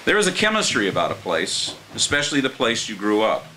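Older American male poet at a live reading. Lowish, bright, earnest delivery, faint hiss behind. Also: huge pitch swings, fairly quick, low-quality recording. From Martins Ferry, Ohio, United States. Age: older, around 60. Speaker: poet reading his own work. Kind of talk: live poetry reading with informal introductions. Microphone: microphone on a stand. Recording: clear background hiss.

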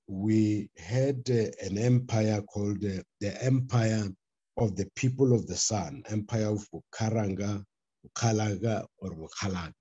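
An older man presenting a formal paper on a video call from a book-lined study, speaking deliberately with frequent pauses and fillers. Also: lowish voice, warm tone, slightly rough, dull audio. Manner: deliberate, hesitant delivery with frequent uh fillers and restarts. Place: study with bookshelves, indoors. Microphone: laptop webcam on a video call.

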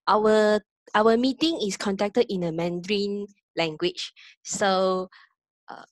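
A woman's voice: medium pitch, no room sound. A woman from Malaysia, a panelist, introducing herself modestly over a video call.

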